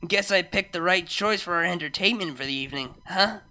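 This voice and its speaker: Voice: medium pitch, fairly quick, bright, forceful tone, lightly acted. A reader voicing a brash, hot-headed, protective pegasus mare in a story. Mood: casual.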